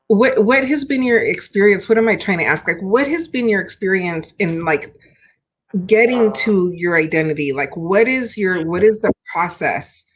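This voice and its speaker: A woman's voice: medium pitch, expressive, moderate pace, slight background noise. Woman host fumbling toward a question. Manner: searching, rephrases questions as she goes. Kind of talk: conversational interview.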